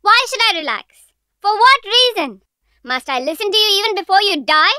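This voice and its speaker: A nagging cartoon rabbit wife, extremely high and piercingly bright. She scolds with relentless sarcasm, recorded close and dry. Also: sing-song, normal speed, no echo, exaggerated voice. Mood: scornful, scolding. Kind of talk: animated cartoon acting.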